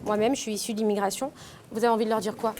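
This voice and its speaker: A woman's voice: medium pitch, little pitch movement, rapid-fire, a little mellow, a little hiss or hum. A woman interviewer hosting a casual interview and asking friendly, teasing questions. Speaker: interviewer, influencer host.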